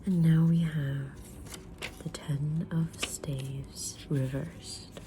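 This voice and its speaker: A woman whispering softly in an ASMR roleplay as a kind, mysterious witch reading tarot, with a gentle, soothing delivery. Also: low-pitched, fairly even intonation, noisy background. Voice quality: breathy unvoiced whisper. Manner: gentle, soothing, unhurried fortune-teller delivery. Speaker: ASMR creator playing a tarot reader.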